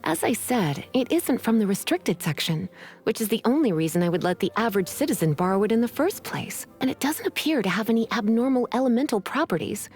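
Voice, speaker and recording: Woman as an elegant, teasing witch librarian, animated. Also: on the high side, brisk, natural voice. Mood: languid, courteous. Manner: poised, teasing, condescending. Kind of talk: video game voice acting.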